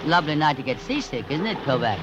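A woman's voice: deep voice, big pitch swings, fast talker, muffled recording. A woman playing a worldly, flippant society lady with a theatrical, wry drawl in an old-time radio drama, on a noisy old recording. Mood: wry, flirtatious.

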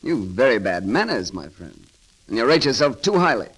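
An actor playing a witty, bold and romantic grand constable in an eloquent, theatrical 1930s radio style. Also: male voice, medium pitch, sing-song, moderate pace, a bit bright, breathy edge, low-quality recording. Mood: defiant, commanding.

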